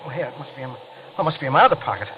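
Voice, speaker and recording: An actor as a guilty, scheming American man in an old radio thriller. He talks quickly in a nervous, confiding stammer, with wide swings in pitch. The recording is muffled and hissy. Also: animated, natural voice. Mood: flustered. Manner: stammering, jittery, confiding in narration. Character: nervous guilty night-shift teletype repairman, scheming and evasive.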